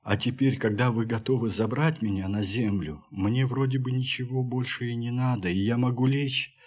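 An actor playing a frail, sentimental ageing settler on Mars in a radio play, with halting, sighing, emotional speech. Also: male voice, mid-range voice, fairly even intonation, darkish tone, breathy edge, close to an everyday voice. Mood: glad, eager. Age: older. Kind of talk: Russian radio play acting. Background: music.

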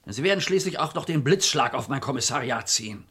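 A gruff, exasperated police commissioner of a radio crime drama. He speaks at a middling pitch, with sardonic grumbling and a wary edge. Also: expressive, quick pace, a bit bright. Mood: irritated, uneasy.

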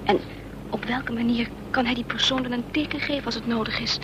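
An actress as high-voiced, quick-witted woman, noisy recording. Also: fairly even intonation, lightly acted. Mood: probing, urgent. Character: quick-witted female accomplice, feigns a haughty lady. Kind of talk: Dutch radio play acting. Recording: noisy old radio play recording, vehicle drone.

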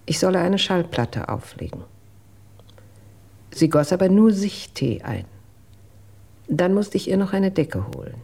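An actress playing a reserved, dutiful household employee who recounts events in a measured, careful way in a radio drama, with a husky voice. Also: female voice, on the low side, very animated, dark tone, exaggerated voice. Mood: measured recollection. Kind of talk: German radio drama acting.

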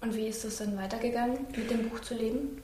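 A woman's voice: a little high, fairly even intonation, quick pace, warm tone. A woman putting short questions to a guest as an interviewer. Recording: echoey room with clear ambient noise.

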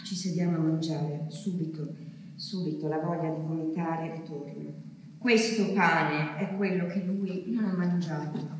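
An actress performing a theatrical monologue as an anguished wartime woman waiting for her missing husband, with slow, halting, intense delivery. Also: low-pitched, steady tone. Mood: numb, grieving. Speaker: stage actress. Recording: echoey hall, distant mic, faint noise.

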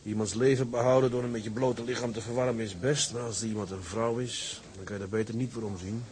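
An actor playing a reserved, modest ship's officer in a radio drama, hesitant and polite. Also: male voice, medium pitch, fairly even intonation, quick pace, darkish tone, close to an everyday voice. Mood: brooding. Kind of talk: Dutch radio drama acting.

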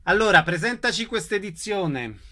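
A man's voice: high-pitched, animated, normal speed, pushed and bright. A man hosting a radio arts programme, putting questions to guests and giving out details. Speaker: radio host and interviewer.

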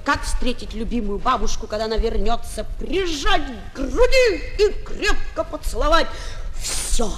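A woman's voice: on the high side, animated, a little slow, fairly bright tone. A woman playing a merry, childish boy clown in exaggerated singsong style for a Soviet children's radio play. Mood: earnest reciting. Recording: 1970s flexi-disc, noisy, long reverb.

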